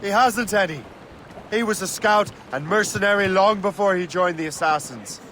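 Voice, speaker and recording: An actor playing a wary, self-reliant fighter in a video game, terse and serious in delivery. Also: male voice, sits high, moderate pace, noisy background, natural voice. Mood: resolute. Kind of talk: video game dialogue acting.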